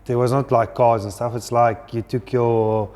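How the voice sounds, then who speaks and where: A man's voice: medium pitch, little pitch movement, moderate pace, darkish tone, big-room sound. A man from South Africa whose first language is Afrikaans, answering interview questions in an easygoing way. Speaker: guest.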